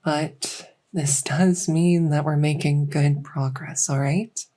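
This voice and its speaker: A woman playing a gentle, reassuring doctor in a soft-spoken medical roleplay, speaking hesitantly with frequent pauses. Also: low-pitched, fairly even intonation. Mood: gentle, comforting. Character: gentle, reassuring female doctor in a fantasy transition clinic. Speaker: roleplay audio performer.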